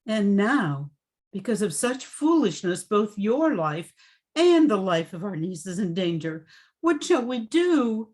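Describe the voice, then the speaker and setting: A proper, concerned wife from an old-time radio drama , acted by a woman. She speaks at a deliberate pace in a middling pitch, prim and gently reproving. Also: big pitch swings.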